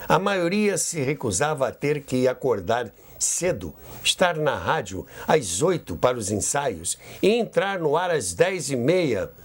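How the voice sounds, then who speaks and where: A man's voice: medium pitch, big pitch swings, a little slow, hardly any pauses, slightly rough. A Brazilian man hosting a history podcast in a conversational storytelling way, with rhetorical questions and asides. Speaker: podcast host.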